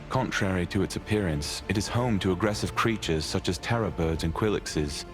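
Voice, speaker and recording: A man giving measured, formal scripted narration for a fantasy video game story. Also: low-pitched, natural voice.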